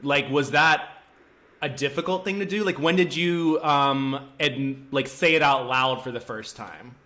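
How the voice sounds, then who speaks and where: A man's voice: medium pitch, unhurried, sharp tone. A man hosting an interview, playful and gushing, with sing-song intros and lots of "like". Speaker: interview host. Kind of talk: casual interview.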